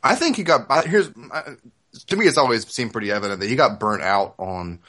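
A man in a casual, reflective podcast discussion of manga, often halting and correcting himself. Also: medium pitch, animated, a bit bright. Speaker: podcast co-host.

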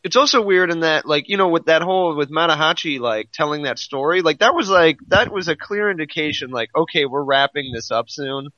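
A man's voice: fairly high pitch, lively intonation, brisk, hardly any pauses, sharp tone, no echo. A man co-hosting a podcast in casual, conversational chat, heard on a slightly lo-fi recording. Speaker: podcast co-host. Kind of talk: casual chat about a comic series.

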